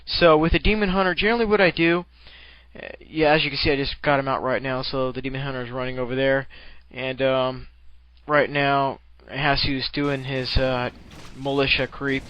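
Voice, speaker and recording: A man's voice: mid-range voice, fairly even intonation, moderate pace, a bit bright, no room sound, lo-fi sound. A man giving casual, rambling commentary on a game replay, with profane, jokey slang.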